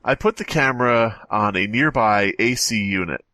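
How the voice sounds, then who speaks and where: A man's voice: lowish voice, moderate pace, bright, forceful tone, no room sound. A man co-hosting a podcast, reading a story aloud casually with mocking, playful riffs. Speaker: podcast co-host.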